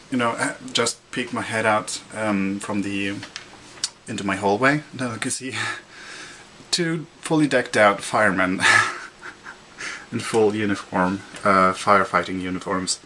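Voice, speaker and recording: Young man, Norwegian accent, casual vlog, rambling and chuckling, crisp bright tone, faint hiss behind. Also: lowish voice, unhurried. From Norway. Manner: rambling, lots of fillers and restarts, chuckles often. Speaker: vlogger.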